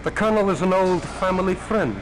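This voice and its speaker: A man's voice: sits high, a little fast, background noise, lightly acted. A man playing a smug mercenary ace pilot, answering an interviewer with sardonic understatement. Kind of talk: television interview acting.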